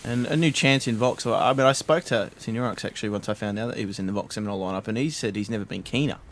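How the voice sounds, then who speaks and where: A man casting an esports match live, steady play-by-play with frequent fillers. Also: fairly low pitch, fast, slight background noise. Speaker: esports caster.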